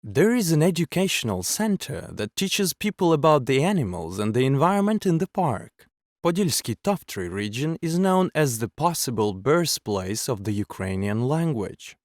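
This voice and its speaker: A man reading scripted narration in a measured, clearly enunciated documentary manner. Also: mid-range voice, big pitch swings, a little mellow, no room sound, clean studio sound. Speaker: voice actor.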